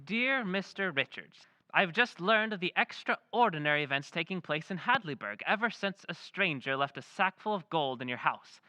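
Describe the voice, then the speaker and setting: An actor voicing a genteel letter-writer reading his letter aloud in an old-time radio drama, measured and confiding. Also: high voice, expressive, sharp tone, no room sound, full character voice, low-quality recording.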